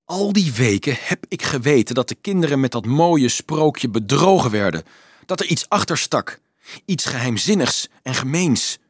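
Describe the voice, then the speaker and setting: A man's voice: mid-range voice, expressive, husky, no room sound, studio quality. A man voicing an indignant, disbelieving teenage boy who questions insistently in a dramatised audiobook reading. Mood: angry, accusing. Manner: urgent, insistent questioning, halting with shock.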